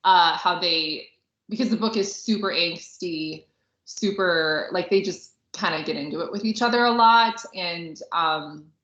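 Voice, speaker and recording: Woman, casual podcast co-host, bright tone, informal chatty delivery, echoey and slightly dull recording. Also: lowish voice, a little slow. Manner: chatty, informal, heavy use of "like". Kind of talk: casual book and film discussion. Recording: roomy echo, slightly dull sound.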